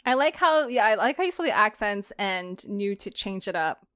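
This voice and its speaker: A woman's voice: mid-range voice, animated, normal speed, a bit bright, smooth, no echo, studio quality. A woman hosting a casual podcast chat, giggling often as she talks. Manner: giggly, laughs often while talking. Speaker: podcast host and creator.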